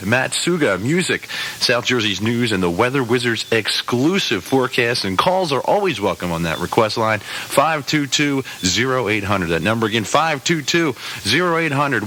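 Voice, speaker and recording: A man's voice: mid-range voice, pushed and bright, rough recording. An American man working as a rock radio DJ, delivering punchy, upbeat announcer patter on a hissy old aircheck tape. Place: radio studio.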